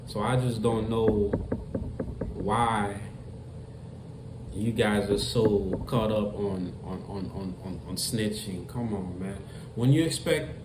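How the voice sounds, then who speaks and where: A man giving a casual commentary monologue, talking straight to his viewers, repeating himself and stressing his points. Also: fairly low pitch. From Florida. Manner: repetitive, emphatic, talks straight to viewers. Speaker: commentary vlogger. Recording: echoey room with faint noise.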